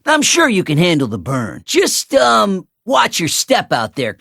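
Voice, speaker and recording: An actor playing an excitable, anxious but friendly islander in a cartoon video game, exclaiming and eager. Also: medium pitch, measured pace, vocal fry, no room sound, clean studio sound, full character voice. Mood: eager, nervous.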